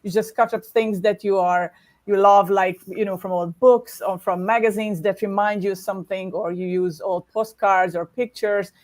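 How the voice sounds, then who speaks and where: A woman from Hungary, talking as a guest artist on a video call in a warm, chatty way with laughs between her words. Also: medium pitch, steady tone, moderate pace. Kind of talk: casual interview talk.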